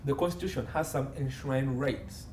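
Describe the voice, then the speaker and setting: A man's voice: mid-range voice, normal speed, a little mellow. A Ugandan man speaking as a legal consultant in an interview, explaining points emphatically and laying them out in lists. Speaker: entertainment and media law consultant, interview guest. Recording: roomy echo, faint background noise.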